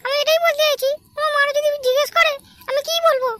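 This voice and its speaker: A man voicing a young woman in an amateur comedy cartoon, a pleading, nagging girlfriend with a squeaky, whiny voice. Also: steady tone, fast talker, sharp tone, slight background noise. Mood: exasperated.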